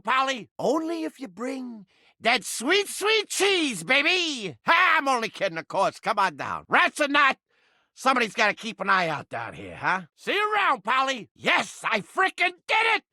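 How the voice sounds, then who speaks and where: A man voicing a surly, crusty little mouse trap in a video game, with a strident cartoonish voice and brash, slangy, soft-hearted bluster. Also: very high voice, huge pitch swings, moderate pace, no echo, studio quality, heavily acted. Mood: teasing, then amazed delight. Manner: brash, yelling, streetwise slangy wisecracking. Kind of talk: video game character acting.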